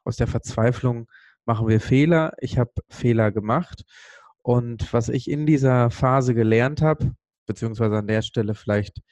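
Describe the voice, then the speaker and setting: Mellow-voiced man in an earnest motivational monologue. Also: mid-range voice, no echo. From Sri Lanka. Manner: earnest, emphatic, pausing for weight. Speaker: speaker on a business podcast. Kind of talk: motivational monologue with personal story.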